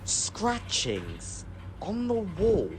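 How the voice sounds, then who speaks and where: A man's voice: high voice, wildly swooping pitch, very slow, exaggerated voice. A man acting in an audio drama as a deferential underling reporting what he has found. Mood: urgent, curious. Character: deferential man reporting a find, with poor eyesight.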